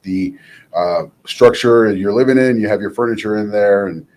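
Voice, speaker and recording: A man's voice: fairly low pitch, normal speed, darkish tone. A man appearing as an insurance agent guest on a radio show, explaining in an easygoing way with everyday analogies.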